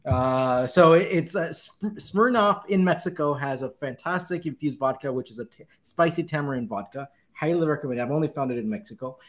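A man's voice: on the high side, brisk. Upbeat man hosting a video call. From Colombia. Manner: upbeat, animated. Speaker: podcast host. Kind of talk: casual chat on a video call. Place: home room indoors. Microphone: video call webcam.

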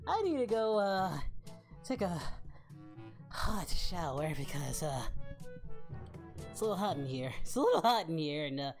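A man playing an excitable, lecherous, cheerful anime warrior in a parody, hosting a casual reaction video in character. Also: a little high, moderate pace, long pauses, over music. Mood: amused, smug. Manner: excitable, bawdy, exclaiming.

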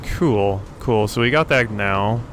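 A man's voice: on the low side, slow, fairly bright tone, a little hiss or hum. A man doing casual let's play commentary, thinking aloud and reading out notes as he goes. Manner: thinks aloud, talking to himself and the game. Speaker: gaming video host.

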